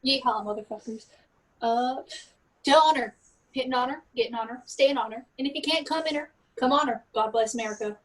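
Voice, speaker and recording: A boastful, boisterous Southern businessman, acted by a woman for a comic stage reading. The voice is high, and the talk is folksy, crude and proudly patriotic. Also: fairly quick, lightly acted, rough recording. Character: brash Texan businessman, loud, crude, patriotic. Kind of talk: comedic live play reading.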